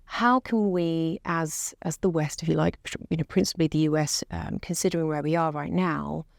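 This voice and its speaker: A woman interviewing a guest, putting her questions together deliberately, piece by piece. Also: low-pitched, steady tone, gravelly, no room sound, studio quality. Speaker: interviewer, military officer.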